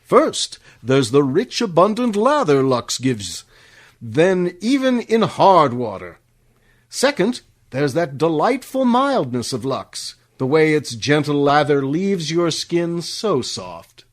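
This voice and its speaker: An actor playing a flattering soap-ad announcer, effusive and emphatic in 1950s radio commercial style. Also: male voice, on the high side, slow pace, exaggerated voice. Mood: salesy, upbeat.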